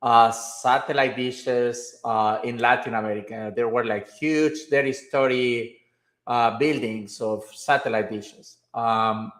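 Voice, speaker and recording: A man from Mexico, a guest answering interview questions in a friendly, enthusiastic way. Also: mid-range voice. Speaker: guest, aerospace CEO. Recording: echoey room.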